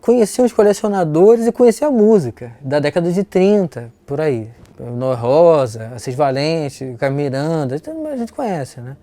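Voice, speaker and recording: A Brazilian man, middle-aged or older, explaining casually and haltingly as an interviewed collector. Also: a little high, big pitch swings, warm tone, dry recording. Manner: halting, repeats words, frequent tag questions.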